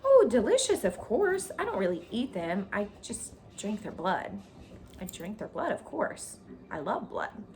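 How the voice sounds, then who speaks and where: A woman's voice: medium pitch, sing-song, unhurried, darkish tone, voice pushed up. A woman voicing a female spider in a children's storybook, with a thin, pleasant voice and a frank, breezy, bloodthirsty manner. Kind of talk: children's storybook read aloud.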